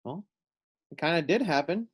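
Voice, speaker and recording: A man's voice: on the high side, lively intonation, normal speed, breathy edge. A man reading a children's storybook aloud, adding simple explanatory asides for young listeners. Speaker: storybook reader.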